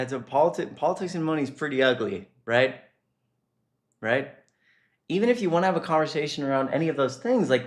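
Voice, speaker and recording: A man giving casual, unscripted political commentary as a streamer, halting and sweary, with an exasperated manner. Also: mid-range voice.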